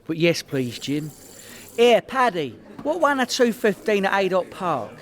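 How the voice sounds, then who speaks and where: A man's voice: sits high, sing-song, unhurried. A man with a London accent playing a boastful, chatty small-time crook in a comedy radio play. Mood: chatty, cocky. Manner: bragging, colloquial, cocky.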